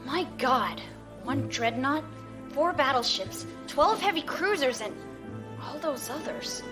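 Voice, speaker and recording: Young crew officer, played by a woman: high, excitable female voice, space drama with music. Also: takes it slowly. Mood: awed. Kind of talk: science fiction audio drama.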